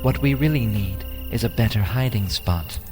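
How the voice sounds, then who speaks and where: A man's voice: lowish voice, moderate pace, over music, natural voice. A man acting a wary, serious archer who leads adventurers in a fantasy audio drama, with a cautious manner. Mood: wary, urgent. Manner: cautious, reluctant.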